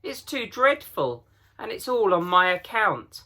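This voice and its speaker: A man's voice: high-pitched, very animated, measured pace, bright, forceful tone, pitched above the performer's own voice, full character voice. A man voicing an earnest, anxious young girl in a children's storybook, pleading and urgent.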